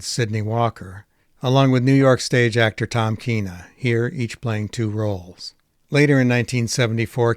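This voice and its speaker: A man's voice: lowish voice, no echo, clean studio sound. A man reading a scripted introduction as a presenter, polished and informative. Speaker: presenter introducing an archive radio drama. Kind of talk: scripted narration.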